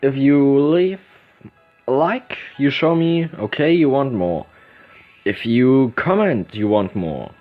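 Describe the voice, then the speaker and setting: A man from Germany with a German accent hosting a casual let's play, reading visual novel text aloud haltingly and correcting himself as he goes. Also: mid-range voice, animated. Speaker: gaming video host. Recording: dull lo-fi microphone, music behind.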